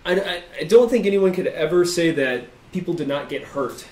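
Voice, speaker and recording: A man hosting a casual studio chat, animated and quick to laugh. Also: on the high side, roomy sound. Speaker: show host.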